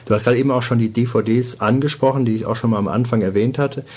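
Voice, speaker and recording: A man's voice: medium pitch, steady tone, quick pace, darkish tone, breathy edge. A man hosting a podcast interview, asking questions with many ums and restarts. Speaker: podcast host. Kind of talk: casual interview talk.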